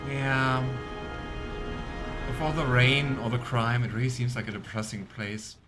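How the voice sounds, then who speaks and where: A man's voice: mid-range voice, little pitch movement, measured pace, a bit bright. A man hosting a let's play, giving casual, amused gameplay commentary with rambling asides. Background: game music.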